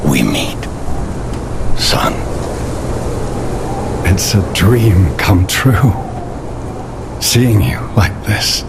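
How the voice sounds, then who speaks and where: An actor playing a grave, fatherly old inventor in a video game, measured and gravelly, with loud ambient noise behind him. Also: sits low, heavily acted. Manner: measured, paternal. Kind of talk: dramatic video game voice acting.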